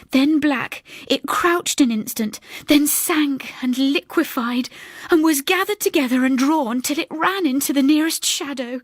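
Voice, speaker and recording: Woman as hushed, haunted older spinster, slow-spoken. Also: high voice, dry recording, clean studio sound, voice pushed up. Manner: hushed, grave, halting delivery. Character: haunted older spinster recounting a ghostly tragedy, sombre. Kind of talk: dramatic ghost story reading.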